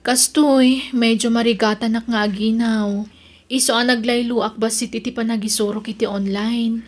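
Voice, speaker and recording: Young pregnant fiancée played by a woman, high voice, plaintive and anxious, natural delivery for radio-style family drama. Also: steady tone, moderate pace, natural voice. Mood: affectionate, weary.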